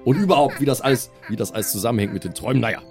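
A man with a medium-pitched voice talks as a player and host in an improvised tabletop game. His delivery is casual and conversational, and quiet music runs under it. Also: racing. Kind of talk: improvised in-character talk and casual banter.

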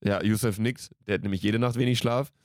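A man's voice: lowish voice, fairly even intonation, a little fast, dry recording, studio quality. A man in his late twenties co-hosting a podcast in casual, slangy, jokey banter. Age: late 20s. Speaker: podcast co-host.

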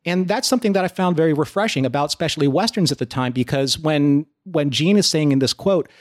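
A man's voice: on the high side, fast. An American man explaining his points earnestly in a conversational discussion, often repeating phrases for emphasis. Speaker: podcast panelist.

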